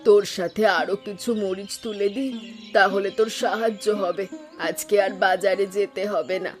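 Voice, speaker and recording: A woman plays a middle-aged village mother in a cartoon folk tale. She is firm and complaining in a mid-range voice, with clear plucked-string music behind her. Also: medium pitch, fairly even intonation, normal speed, natural voice. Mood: fretful, caring. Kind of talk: animated Bengali folk tale voice acting.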